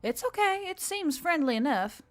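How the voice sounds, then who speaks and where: A woman's voice: sits high, sing-song, moderate pace, voice pushed up. A woman voicing a confident young female adventurer, casual and teasing, in an audio story. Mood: friendly, teasing. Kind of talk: audio fiction character acting. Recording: background music under the voice.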